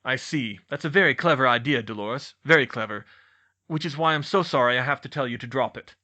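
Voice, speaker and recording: A man's voice: mid-range voice, brisk, pushed and bright, lightly acted. A man voicing a polite, genial but beleaguered middle-aged government boss with a wry manner, in an audiobook. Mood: approving, regretful. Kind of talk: audiobook character voice.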